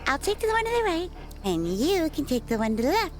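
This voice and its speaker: A woman's voice: high voice, big pitch swings, fairly quick. An actress in a horror audio roleplay playing a gleeful, bloodthirsty monster woman with a taunting, sing-song manner. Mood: playful, taunting. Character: gleeful bloodthirsty female monster hunting with a wolf.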